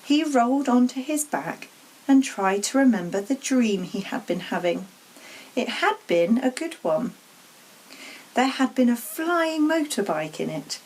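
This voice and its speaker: A woman reading a children's storybook aloud as an expressive narrator. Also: on the high side, measured pace, husky, low-quality recording. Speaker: story reader.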